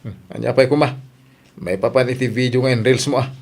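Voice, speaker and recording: A man's voice: medium pitch, steady tone, brisk, a little mellow, close to an everyday voice. A man acting a worried, sensible, scolding husband in an Ilocano radio comedy drama. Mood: questioning. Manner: reproachful.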